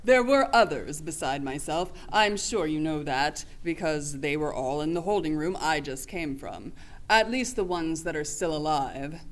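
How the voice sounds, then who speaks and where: A woman acts as a stern female lieutenant in a radio play. Her voice sits fairly low, and she speaks in formal, clipped, factual statements. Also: lively intonation, unhurried, a little hiss or hum. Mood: matter-of-fact. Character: stern, matter-of-fact female lieutenant from another world.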